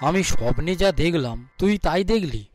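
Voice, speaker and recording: A man voicing an excitable, cautious village husband in a fairy-tale cartoon, earnest and exclamatory. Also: on the high side, fairly quick, soft background music, natural voice. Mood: eager.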